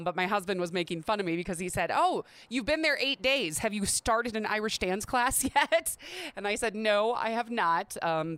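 A woman's voice: on the low side, a bit bright, clean tone, no echo. Chatty woman podcast host. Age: 30s. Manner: chatty, candid, self-deprecating. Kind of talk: casual solo podcast talk.

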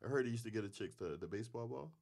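A man's voice: medium pitch, racing, gravelly. A young man co-hosting a casual chat, telling slangy, joking stories. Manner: animated, joking, slangy storytelling. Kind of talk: casual banter.

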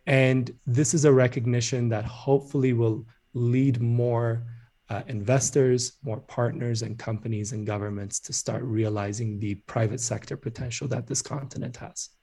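A man from Ethiopia talks as a guest in a podcast interview. His voice is soft-edged and warm in tone, at an average pitch, and he explains things conversationally as a founder would. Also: steady tone, normal speed.

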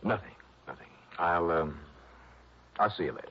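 An actor playing a cool, wry insurance investigator with a dry, measured delivery in a radio detective drama. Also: male voice, deep voice, lightly acted. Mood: wry, pointed. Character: cool, wry male insurance investigator, narrating his case. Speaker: lead detective and narrator. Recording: noisy, muffled old radio recording.

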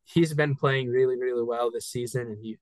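A man's voice: mid-range voice, fairly even intonation, a little fast, a little mellow, no echo. Man with a dry close-mic sound, telling a play-by-play. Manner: eager play-by-play storytelling. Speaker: guest co-host. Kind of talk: casual sports podcast chat.

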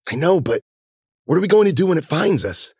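A man's voice: very high voice, sing-song, moderate pace, gravelly, husky, no room sound, pitched above the performer's own voice, exaggerated voice. A male narrator plays an exasperated young man in an adventure audiobook. His voice sits well above a natural narrating register and swings widely. He sounds urgent and incredulous, swearing in alarm, in a dry, close recording. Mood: anxious, bewildered. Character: panicked, exasperated young man yelling in a storm.

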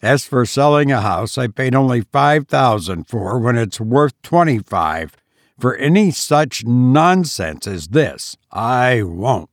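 A man reading aloud and voicing a gruff, resentful middle-aged father who speaks roughly. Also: mid-range voice, lively intonation, slow, dry recording, clean studio sound. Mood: angry.

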